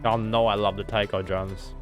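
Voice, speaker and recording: A man chatting casually as he reacts to game music, excitable and thinking aloud as he ranks tracks. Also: on the low side, normal speed. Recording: music playing in background.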